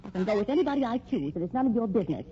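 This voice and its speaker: A muffled vintage radio recording with faint noise holds a woman playing a haughty young gold digger. Her mellow voice swoops expressively, breezy and cutting as she talks in radio drama style. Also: medium pitch, sing-song, racing, lightly acted. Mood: indignant. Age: young adult. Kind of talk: old-time radio drama acting.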